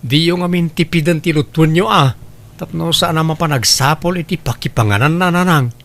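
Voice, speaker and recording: Man acting young ilocano husband, kinda high voice, earnest n questioning, comedy drama. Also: fairly quick, natural voice. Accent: Filipino, Ilocano.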